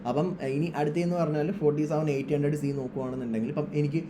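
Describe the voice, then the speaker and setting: Fast-talking man with noisy background. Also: on the high side, dark tone. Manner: instructional, mixes English trading terms into speech. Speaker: trading tutorial presenter, trader. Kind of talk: informal screen-share tutorial.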